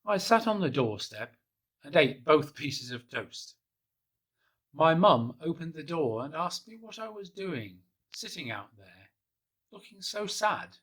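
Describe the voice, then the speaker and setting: A man gently reading a children's picture book aloud in the first person, in a soft, hushed and unhurried way. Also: fairly high pitch, big pitch swings, husky. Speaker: storybook reader.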